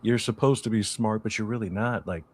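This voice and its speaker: A man co-hosting a podcast, chatting casually about a TV show with slangy, laid-back banter and drawn-out storytelling. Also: on the low side, a crowd in the background. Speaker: podcast co-host. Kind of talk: casual chat.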